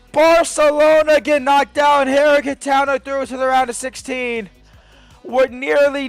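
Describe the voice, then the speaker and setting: Guy yelling thru his football game career mode, high voice, getting hoarse, faint game music behind. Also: dry recording. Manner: excitable, bursts into shouts at big moments. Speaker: gaming video host. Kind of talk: live video game commentary.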